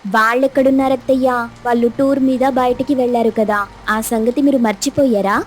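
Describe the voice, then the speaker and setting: Woman playing a high-voiced silly young daughter-in-law. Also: fairly even intonation, a little fast, a little hiss or hum, close to an everyday voice. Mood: puzzled. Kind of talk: dramatized moral story cartoon dialogue.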